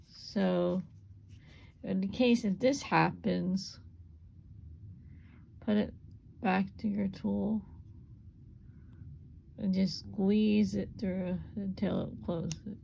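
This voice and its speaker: A woman with a gravelly, hoarse voice demonstrates a small craft step by step. Her talk is relaxed and unscripted, with plenty of repeats as she works. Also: mid-range voice, very slow, pauses often, slight background noise. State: voice going hoarse. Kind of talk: casual hands-on craft demonstration.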